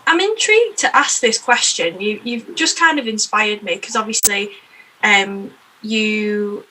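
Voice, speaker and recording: A woman's voice: medium pitch, unhurried, sharp tone, rough recording. A woman from the UK hosting a podcast, chatty and eager, often affirming her guest. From United Kingdom. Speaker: podcast host. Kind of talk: casual interview chat.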